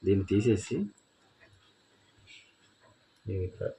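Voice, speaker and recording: A man's voice: low voice, fairly even intonation, unhurried, dark tone, slight background noise. A man giving a casual, step-by-step product walkthrough, mixing in English words. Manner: informal, explains step by step with English words mixed in. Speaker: product reviewer. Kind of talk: casual unboxing and installation walkthrough.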